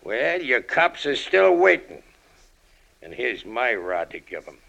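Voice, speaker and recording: An actor playing a hard, tired old American ex-convict in an old-time radio crime drama, talking tough underworld slang with menace. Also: male voice, medium pitch, big pitch swings, slow, gravelly, a bit bright, highs cut off, full character voice. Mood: weary, resigned. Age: older.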